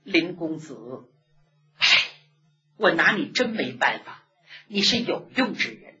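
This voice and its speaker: Woman storyteller as young woman, soft and resigned, bright, slow, lo-fi. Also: lowish voice, big pitch swings, exaggerated voice. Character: one-armed young woman, gentle and self-doubting. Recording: dull lo-fi recording.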